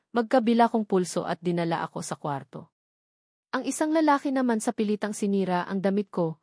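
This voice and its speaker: A Filipino woman narrates a first-person novel from a script. Her voice sits at a medium pitch for a woman. The recording is close and very dry, with hardly any room sound. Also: a little mellow, dry recording.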